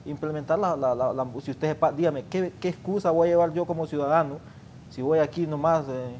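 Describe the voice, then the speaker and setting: Male panel guest, earnest and repetitive, faint background rumble. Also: brisk, a little mellow. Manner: earnest, explanatory, repeats himself. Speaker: traffic official guest. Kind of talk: interview, arguing a point.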